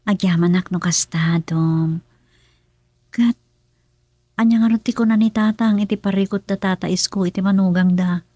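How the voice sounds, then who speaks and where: A woman's voice: mid-range voice, steady tone, normal speed, long pauses, mellow, no room sound, natural voice. A woman playing a concerned family member in a radio drama comedy, with an Ilocano accent.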